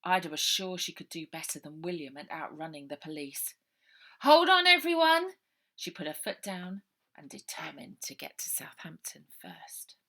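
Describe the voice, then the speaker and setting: Woman reading her kids book, lowish bright voice, goes whispery in the tense bits. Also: normal speed, vocal fry. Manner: lively, drops to whispers for suspense. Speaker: author reading her own novel. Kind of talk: storybook narration for children.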